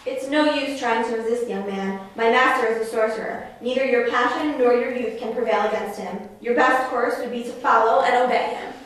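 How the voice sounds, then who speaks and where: A woman's voice: fairly high pitch, a little fast, few pauses, fairly bright tone, natural voice. A woman playing an obedient spirit servant of a sorcerer, speaking formally in theatrical verse and dialogue. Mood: dutiful. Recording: very echoey, distant, noisy room.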